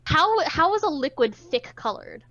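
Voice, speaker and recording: A woman's voice: high-pitched, big pitch swings, moderate pace, fairly bright tone. A woman reading a visual novel aloud with dramatic delivery and sarcastic, irreverent asides, on a slightly dull recording. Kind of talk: dramatic read-aloud with casual joking asides.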